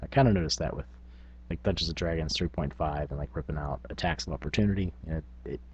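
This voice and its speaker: A man's voice: a bass voice, fast, lo-fi sound, slight background noise. A man speaking informally to camera as a tabletop gaming vlogger, thinking aloud in casual talk. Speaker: tabletop gaming video blogger.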